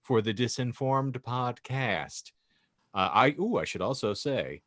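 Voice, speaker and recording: A man's voice: mid-range voice, lively intonation, a little slow, a bit bright, no echo, studio quality. A man hosting a comedy podcast, reading fan fiction in a mock-solemn storybook voice and chatting wryly. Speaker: podcast host and narrator.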